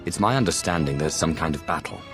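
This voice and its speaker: A very deep, haughty Sith lord in a space-fantasy game. He is dry, sardonic and unhurried, with loud music playing behind him. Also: animated. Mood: aloof, dry. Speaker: player character in a video game. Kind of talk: video game voice acting.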